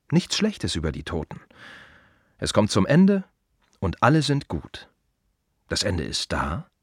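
A man's voice: mid-range voice, husky, no echo, studio quality, exaggerated voice. A man playing a plain-spoken gravedigger in a radio play monologue, musing wryly in short, repeated phrases. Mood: wry, insistent. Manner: reflective, wry, clipped fragments with repeated phrases. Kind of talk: German radio drama monologue, conversational.

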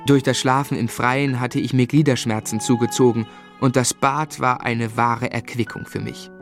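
An actor as a grown man narrating his own boyhood in a measured, literary style. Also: mid-range voice, fairly even intonation, faint music behind, lightly acted. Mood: reflective.

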